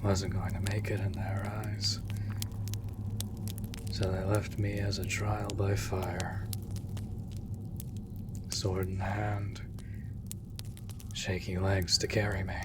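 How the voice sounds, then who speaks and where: A man playing a gentle, world-weary monster hunter in an intimate comfort roleplay, speaking in breathy half-whispers with halting delivery. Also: sits low, monotone, very noisy. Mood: wistful, subdued. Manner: slow, halting, hushed delivery. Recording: loud rain and cave ambience. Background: rain in a cave.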